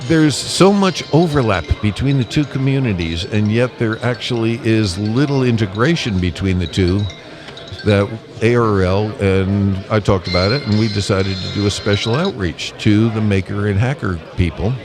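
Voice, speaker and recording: A man's voice: on the low side, moderate pace, over music. A man being interviewed as a public relations manager, answering conversationally in an enthusiastic, talkative, emphatic way. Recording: live event with loud crowd behind. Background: busy crowd at a convention.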